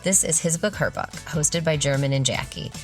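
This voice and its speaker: A woman presents as a podcast co-host, her voice a little lower than most women's. She shifts between relaxed conversation and scripted reading. Faint music can be heard. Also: normal speed. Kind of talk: casual podcast hosting with some scripted reading.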